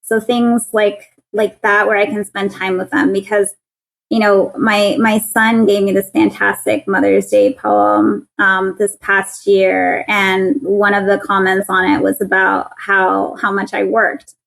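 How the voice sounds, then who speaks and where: A woman's voice: mid-range voice, a little slow, vocal fry, fairly bright tone, low-quality recording. A woman in her thirties with a young-sounding voice, a guest in a casual interview, talking earnestly with frequent laughs and restarts. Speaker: guest, patent attorney.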